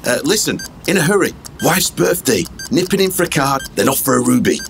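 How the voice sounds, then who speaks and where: A man playing a breezy, hurried pal in an audio drama, clipped and chummy. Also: medium pitch, sing-song, vocal fry, faint music behind.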